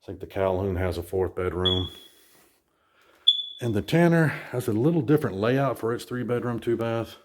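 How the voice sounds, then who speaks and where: Hoarse man touring an echoey empty house. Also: on the low side, lively intonation, normal speed, noisy background. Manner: chatty, rambling, self-deprecating. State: hoarse, losing his voice. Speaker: real estate agent. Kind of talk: casual home tour vlog. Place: empty new house, indoors. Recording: echoey empty rooms, faint smoke alarm chirps.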